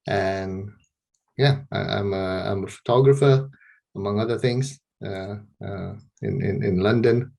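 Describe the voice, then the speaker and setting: A man's voice: lowish voice, a little slow, vocal fry. Hesitant middle-aged man from Malaysia on a video call. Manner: hesitant, many restarts and repeated words, soft laughs. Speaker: interview guest, street photographer. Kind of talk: casual interview over video call.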